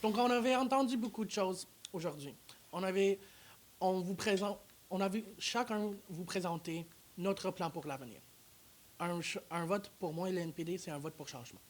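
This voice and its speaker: A man's voice: high-pitched, a little hiss or hum. Man, election candidate in a studio debate, earnest and insistent. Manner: earnest, repetitive rallying lines. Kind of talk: campaign pitch in a debate.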